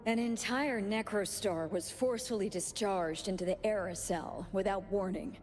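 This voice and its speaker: A woman voicing a solemn video game character who speaks softly and gravely about sacrifice, in measured phrases. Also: mid-range voice, natural voice. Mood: grave, reporting. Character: solemn woman recounting a fallen comrade's sacrifice. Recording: game music behind the voice. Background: game music.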